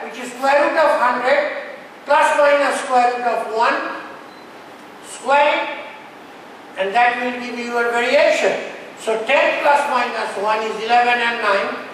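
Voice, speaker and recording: A man's voice: very high voice, a little slow, fairly bright tone. A man giving a technical keynote lecture in a blunt, provocative manner, challenging listeners with rhetorical questions. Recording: very echoey hall, distant mic, faint noise.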